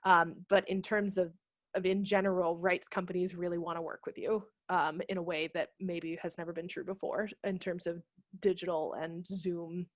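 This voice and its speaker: A woman's voice: fairly low pitch, little pitch movement, moderate pace, no room sound, studio quality. A woman in her thirties explaining things casually as an interview guest on a video call, speaking into a headset mic. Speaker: guest, theatre company managing director. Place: living room at home, video call. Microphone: headset microphone.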